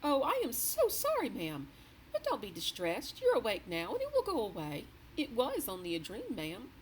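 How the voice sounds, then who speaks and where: A flustered middle-aged maid, put on by a woman reading aloud, high and anxious, every sentence ending in a nervous ma'am. Her voice bounces up and down. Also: huge pitch swings, normal speed, slight background noise, rough recording. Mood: soothing. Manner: deferential, flustered, keeps saying ma'am.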